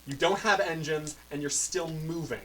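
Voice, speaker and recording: A man narrating as game master of a tabletop role-playing game, describing scenes in a relaxed, rambling way. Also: on the high side, normal speed. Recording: mic a bit far, faint noise.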